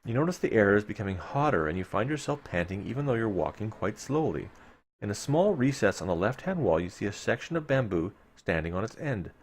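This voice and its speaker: A man's voice: lowish voice, sing-song, a little fast. A man live-streaming a read-aloud of an adventure gamebook, mixing storytelling narration with casual asides to his viewers.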